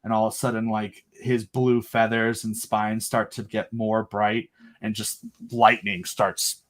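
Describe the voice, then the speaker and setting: A man's voice: fairly low pitch, little pitch movement, measured pace. A man as an interview guest, eagerly explaining his own creative project in casual, rambling chat. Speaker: guest, creator of an audio drama.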